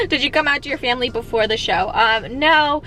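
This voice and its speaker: Bright-voiced, higher-pitched woman. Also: expressive, moderate pace, faint music behind. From Czech Republic, later the United States. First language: Czech. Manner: candid, colloquial, sprinkled with "like". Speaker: vlogger answering viewer questions. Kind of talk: casual chat, Q&A to camera.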